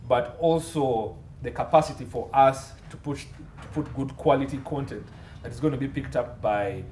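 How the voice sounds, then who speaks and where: A man's voice: medium pitch, moderate pace, darkish tone. A Ugandan man speaking as a legal consultant in an interview, explaining points emphatically and laying them out in lists. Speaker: entertainment and media law consultant, interview guest. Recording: roomy echo, faint background noise.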